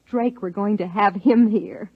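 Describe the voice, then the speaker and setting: A woman's voice: mid-range voice, fairly even intonation, unhurried. A woman playing an earnest, determined, articulate wife and scientist in a 1950s radio drama. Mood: insistent. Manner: articulate, insistent, formal. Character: earnest, determined wife and biologist, narrating her story. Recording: muffled old 1950s radio broadcast.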